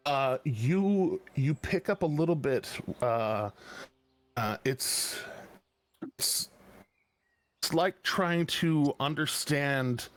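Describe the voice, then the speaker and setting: A man narrating as game master, describing scenes slowly with frequent pauses and restarts. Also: mid-range voice, a bit bright. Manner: slow, halting, many restarts and fillers.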